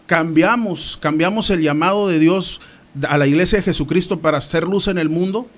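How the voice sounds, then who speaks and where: A man's voice: on the high side, normal speed. A man from Mexico with a Mexican accent, giving emphatic, repetitive religious commentary as a podcast guest.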